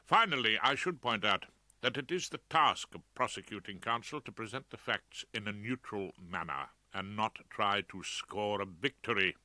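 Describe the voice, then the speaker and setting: A man's voice: on the low side, moderate pace, pushed and bright, no echo. A British man narrating a first-person audiobook story in a dry, wry, orotund manner. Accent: British English. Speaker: audiobook reader.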